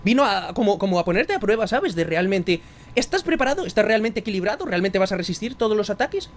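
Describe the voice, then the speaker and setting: A man's voice: high-pitched, very animated, fast. A man from Galicia with a Galician Spanish accent, hosting a casual live-streamed interview and speaking earnestly, calling his guest brother. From Spain, Galicia. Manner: earnest, brotherly, effusive thanks. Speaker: podcast host.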